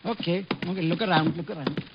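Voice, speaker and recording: A very high-voiced, jittery little boarding-house fellow, played by a male actor in a vintage radio detective play. He is grudging and money-minded, repeating himself in rough slangy grammar. The old recording is muffled and clearly noisy. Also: fast talker, natural voice. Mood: grudging, evasive. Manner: repetitive, uneducated slangy grammar. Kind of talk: 1940s-50s radio drama acting.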